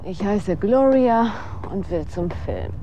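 A woman acting in a German radio drama as a sardonic, world-weary young aspiring actress with a deadpan, cynical delivery. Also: mid-range voice, slow, dull audio. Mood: bitter, deadpan. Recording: clear outdoor street and traffic noise. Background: city street ambience with vehicles.

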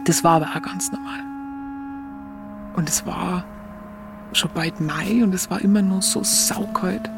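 An actress playing a Munich woman with a Bavarian accent, candidly recalling her wartime youth in a colloquial, halting way for a radio play. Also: on the low side, steady tone, vocal fry, noisy background. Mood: reflective. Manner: colloquial, confiding, halting recollection. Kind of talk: first-person radio play narration.

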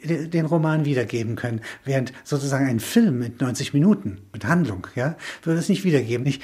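A man in reflective intellectual conversation, musing in halting phrases punctuated with small affirming tags. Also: medium pitch, animated, darkish tone, breathy edge. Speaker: interviewee, filmmaker.

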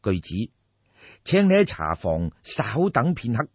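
A man's voice: mid-range voice, wildly swooping pitch, unhurried, husky, voice pushed down, heavily acted. A man with a Cantonese accent playing a respectful, formal minister of state in an audiobook. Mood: courteous, commanding. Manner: formal, courteous. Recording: dull, roomy lo-fi recording.

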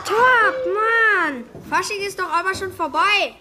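A woman playing an excitable, slangy schoolboy pal in a children's radio play. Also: very high-pitched, slow, soft background music, heavily acted. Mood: excited.

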